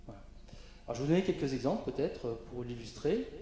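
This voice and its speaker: A man's voice: mid-range voice, lively intonation, fast talker, dark tone, slight background noise. A man presenting on a conference panel, hesitant, with frequent fillers and restarts. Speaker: panel speaker. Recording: very echoey conference hall.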